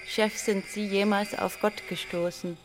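A woman recites literary text for a radio play in a deliberate, declamatory manner. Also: mid-range voice, little pitch movement, background noise. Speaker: reader of an inventor's writings. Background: music.

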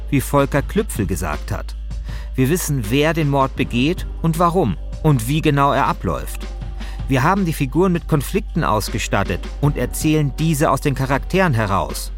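A man's voice: medium pitch, very animated, moderate pace. Guy hosting a podcast, mid-range voice, chatty but also reads narration, faint music behind. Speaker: podcast host and author. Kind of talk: casual chat and scripted podcast narration.

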